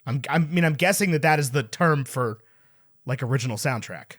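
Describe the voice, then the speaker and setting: A man's voice: mid-range voice, a little fast. A man co-hosting a casual movie review podcast, talking in a playful, rambling way as he loses his voice. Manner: animated, playful, rambling with restarts. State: losing his voice. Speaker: podcast co-host. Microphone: podcast mic.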